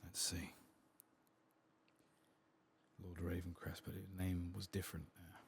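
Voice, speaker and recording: A man speaking softly and often whispering in ASMR style as he comments on a game he plays, close to the microphone. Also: low voice, monotone, vocal fry, slight background noise. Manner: hushed, reads quest text aloud slowly. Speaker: ASMR gaming streamer narrating a playthrough.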